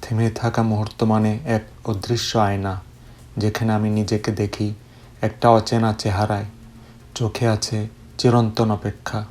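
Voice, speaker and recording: A man recites poetry as spoken word in a measured, solemn and wistful way. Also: lowish voice, flat delivery, a little mellow. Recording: music bed under the voice. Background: background music.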